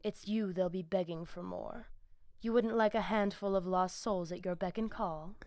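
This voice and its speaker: An actress playing a scheming, seductive female vampire who leads a pack, speaking in florid, measured, persuasive game dialogue. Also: lowish voice, steady tone, a little mellow, dry recording, natural voice. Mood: commanding, enticing. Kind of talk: scripted video game dialogue.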